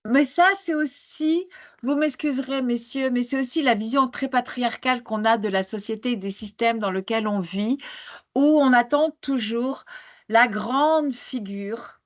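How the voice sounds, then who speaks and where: Woman, conference panelist, somewhat high voice, passionate, hesitant, many restarts. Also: a little slow. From born in Algeria, grew up in Argentina. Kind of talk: panel discussion answer.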